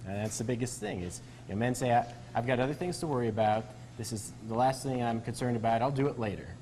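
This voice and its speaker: A man's voice: medium pitch, fast, a little mellow, a little hiss or hum, poor audio. A man speaking as a doctor being interviewed, explaining things in a conversational way.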